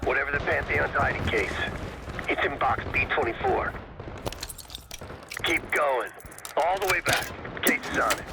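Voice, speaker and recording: An actor playing a brisk, focused covert squad leader, giving terse commands in a video game scene. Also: male voice, medium pitch, creaky, heavy background noise. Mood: urgent, commanding. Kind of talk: cinematic video game acting. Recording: loud music over the voice.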